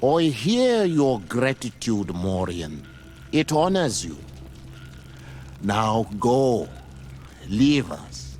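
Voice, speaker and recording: Video game spiritual leader: slow, solemn, formal and dignified, melodic delivery, music underneath. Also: male voice, mid-range voice, very animated, darkish tone. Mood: solemn, guarded. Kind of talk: scripted video game acting.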